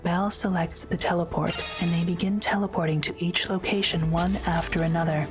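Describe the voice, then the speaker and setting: A woman narrating an audio drama script in a measured, matter-of-fact way. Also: lowish voice, fairly even intonation, music in the background.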